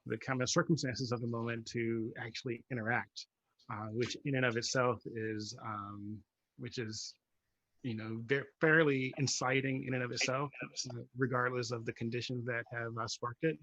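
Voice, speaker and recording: A man on a panel explaining his work casually, in a halting, measured way with many pauses. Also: medium pitch, fairly even intonation, a bit bright, dry recording, low-quality recording. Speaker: playwright on a panel.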